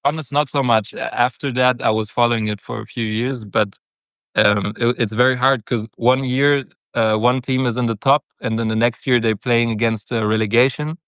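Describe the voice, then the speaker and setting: A man's voice: mid-range voice, steady tone, moderate pace, a bit bright, no echo. A young man from Germany, a football captain, talking casually in an interview with many hesitations. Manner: hesitant, many fillers and restarts.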